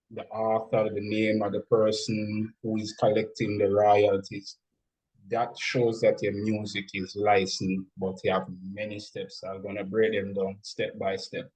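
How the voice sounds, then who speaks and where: Slow-talking man with a husky voice. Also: on the low side, darkish tone, low-quality recording. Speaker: music producer, interview guest. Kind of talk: explanatory interview talk.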